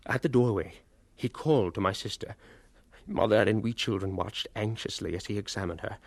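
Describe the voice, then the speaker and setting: Low-voiced old storyteller reminiscing. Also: male voice, creaky, no echo. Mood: grave. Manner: theatrical, reminiscing, savouring pauses. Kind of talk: dramatized first-person radio narration. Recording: noisy vintage radio drama recording.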